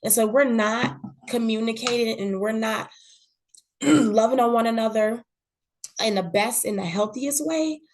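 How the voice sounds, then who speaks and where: Earnest woman, fairly high voice, candid chat. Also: unhurried. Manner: earnest, repeats words, often says "you know what I'm saying". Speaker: podcast guest. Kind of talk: candid conversational talk.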